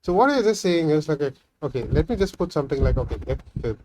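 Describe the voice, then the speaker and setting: A man's voice: on the high side, lively intonation, fast talker, noisy background. Man thinking aloud while coding. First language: Tamil. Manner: thinking aloud, many fillers and "right?" tags. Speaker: programming tutorial host. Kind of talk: casual screen-recorded coding walkthrough.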